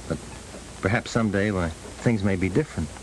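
A man's voice: deep voice, a little fast, natural voice. An actor playing an earnest, troubled young man in a 1930s film. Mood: tender, hesitant. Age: young adult. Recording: faintly noisy 1930s film soundtrack.

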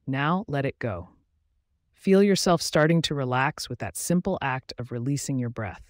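A man guiding a body scan meditation, reading a script in a slow, soothing, even way with pauses. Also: mid-range voice, no room sound, studio quality. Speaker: meditation guide.

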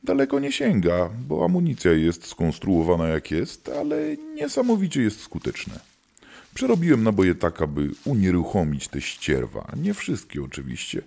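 A man playing a world-weary, gruff hunter of demons who keeps the old gods, in a theatrical scripted audio drama. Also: lowish voice, huge pitch swings, normal speed, a little mellow, exaggerated voice. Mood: wry, gruff.

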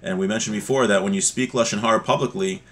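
A middle-aged man giving an informal religious lesson, explaining with examples in a conversational, somewhat halting way. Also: lowish voice, fairly bright tone. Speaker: religious teacher. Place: small home room, indoors. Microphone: webcam or laptop mic at desk distance.

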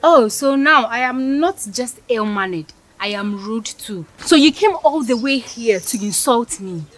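An actress playing a rude, snobbish young woman who mocks and shouts with contempt. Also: fairly high pitch, expressive, unhurried, a little hiss or hum. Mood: outraged. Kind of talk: scripted skit acting.

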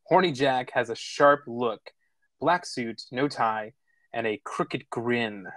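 Male narrator, mid-pitched, screenplay stage directions, table read, close dry recording. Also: slow, fairly bright tone, dry recording. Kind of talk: scripted narration of screenplay action.

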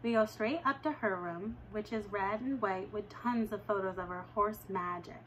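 Woman reading a kids book at home, expressive, pitch jumps up for dialogue, close camera mic. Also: moderate pace, a little hiss or hum, rough recording. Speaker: reader of a children's novel. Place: living room at home, indoors.

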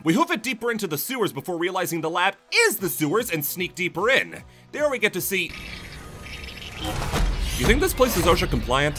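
A man narrating a scripted comedic video game review, full of sarcastic jokey asides. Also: a little high.

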